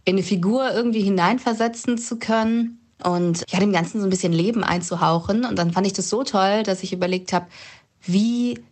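A woman in her twenties, an actress, talking about her career in a relaxed, chatty way with frequent fillers. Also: medium pitch, brisk. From Germany, Palatinate. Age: 20s. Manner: chatty, reflective, many fillers. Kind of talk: interview answers, casual.

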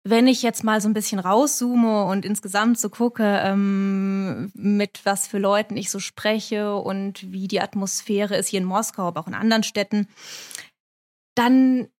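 A woman's voice: medium pitch, steady tone, a little mellow. Non-native woman explaining at length. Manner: measured, explanatory, with many hesitations. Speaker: correspondent in Moscow. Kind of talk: interview answer.

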